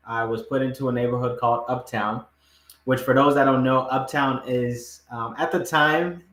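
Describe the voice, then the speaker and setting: A man's voice: medium pitch, a bit bright. A man from Ghana who grew up partly in the United States, telling a personal story as a podcast guest in an emphatic, repetitive conversational way. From Ghana, moved to the United States aged eight. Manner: emphatic, repetitive, often checks in with "right?". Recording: roomy, echoing room sound.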